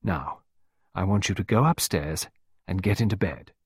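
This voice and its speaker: A man's voice: medium pitch, sing-song, normal speed, husky, no room sound, studio quality, voice pushed up. A man voicing an anxious, protective stepmother in an audiobook story, coaxing and insisting with urgency. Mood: consoling. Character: anxious, commanding, protective English stepmother, soothing yet urgent.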